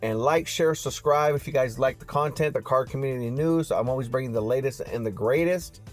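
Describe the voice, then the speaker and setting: A man's voice: mid-range voice, a little fast, faint music behind. A man hosting car news on YouTube in a casual, slangy vlog style, talking straight to his viewers.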